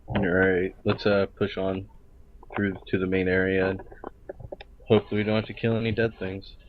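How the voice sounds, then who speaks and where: A man playing a role-play game over online voice chat, casually and deliberately talking through each move. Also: deep voice, flat delivery, slight background noise. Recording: dull, lo-fi voice chat audio.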